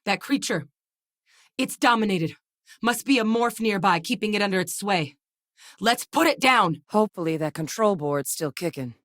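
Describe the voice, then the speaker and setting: An actress playing a determined, professional military scientist woman in a sci-fi video game, speaking in an earnest, measured and articulate way. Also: low-pitched, dry recording, clean studio sound, close to an everyday voice. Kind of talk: scripted video game character dialogue.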